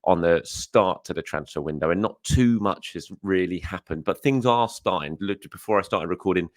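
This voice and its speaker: A young adult man who hosts a football news show, talking in a casual, chatty way. He is hoarse and often uses fillers and corrects himself as he goes. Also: deep voice, normal speed, no room sound. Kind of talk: casual solo commentary.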